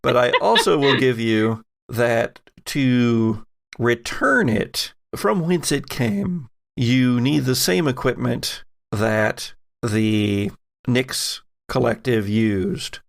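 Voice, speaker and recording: Guy game master w/ gravelly fry, takes his time narrating spooky stuff, kinda wry. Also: medium pitch, takes it slowly. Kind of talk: narrating a tabletop horror game.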